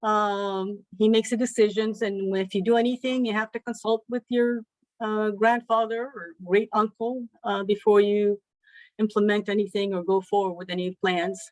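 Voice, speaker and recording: A woman from Afghanistan giving an educational presentation as a lecturer, measured and pausing often with ums. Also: medium pitch, level tone, a little mellow, no room sound, low-quality recording. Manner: measured, with frequent ums and pauses.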